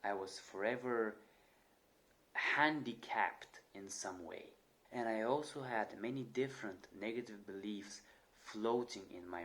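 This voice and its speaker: A man's voice: lowish voice, a bit bright. A young man talking earnestly to camera, sharing his own experience, with small repetitions and restarts in his speech. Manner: earnest, reflective, with occasional stutter repetitions. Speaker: channel host. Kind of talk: personal talk to camera.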